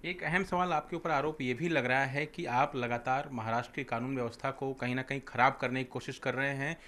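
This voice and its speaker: Bright, forceful male news reporter. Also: medium pitch, fairly even intonation, fairly quick. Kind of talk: field news report and interview.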